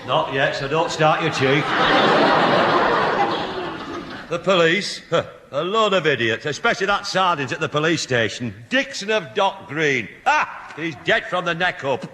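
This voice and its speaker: Man playing indignant middle-aged blusterer, lively swooping delivery, radio sitcom, echoey hall. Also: on the high side, normal speed, hardly any pauses, heavy background noise, natural voice. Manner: blustering, exasperated. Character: grumpy, indignant, blustering family man, easily riled. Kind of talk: British radio sitcom acting.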